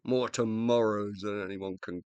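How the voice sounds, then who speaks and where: An actor in a radio play voicing the uploaded mind of a dead scientist, self-reproaching and loving, with an emotional, hesitant, confessional delivery. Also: male voice, lowish voice, fairly even intonation, a little slow, darkish tone, smooth, dry recording, lightly acted. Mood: urgent, alarmed.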